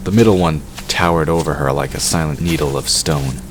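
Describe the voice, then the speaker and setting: A man's voice: rumbling low, fairly quick, slight background noise, poor audio. A man reading scripted narration for a fantasy audio drama.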